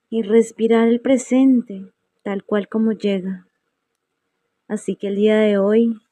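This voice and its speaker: A woman narrates a guided meditation at a very slow pace. Her tone is mellow and relaxed, and she leaves serene pauses between phrases. The sound is dry, with little room on it. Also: medium pitch, no echo. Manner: unhurried, serene, with long pauses.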